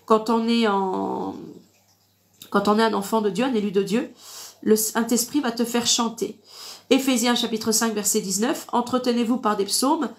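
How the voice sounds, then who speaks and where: Woman w stuffy nose doing a casual online bible teaching, kinda high voice, repeats herself to drive points home. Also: steady tone, normal speed. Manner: didactic, repeats phrases, punctuated with amens. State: congested with a blocked nose. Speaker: online preacher. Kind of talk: informal live Bible teaching with verse reading.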